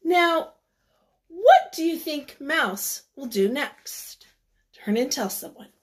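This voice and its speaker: A woman reading a picture book aloud to children, expressively and deliberately, with dramatic emphasis. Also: high voice, animated. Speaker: children's read-aloud storyteller.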